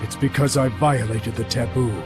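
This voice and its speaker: A man voicing a noble, stoic old warrior mentor, grave and deliberate, in a fantasy game. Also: medium pitch, fairly even intonation, music in the background, close to an everyday voice. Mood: weakened, regretful. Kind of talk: dramatic fantasy game voice acting. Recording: loud orchestral game score behind.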